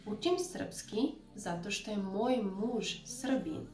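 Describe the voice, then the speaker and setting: A woman's voice: medium pitch, takes it slowly, dark tone. A young woman with a Serbian accent, a native Serbian speaker, teaching an online language lesson in a friendly, encouraging, casual way. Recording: roomy echo with faint music.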